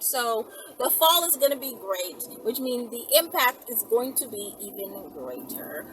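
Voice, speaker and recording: A woman in her thirties chatting casually and emphatically as she gives step-by-step instructions, often checking in with the listener. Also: sits high. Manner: animated, emphatic, checks in with "right?" and "okay?". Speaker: vlogger giving instructions. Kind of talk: casual instructional chat to camera. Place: inside a car, daytime. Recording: distant mic with loud vehicle noise. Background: loud car and road noise.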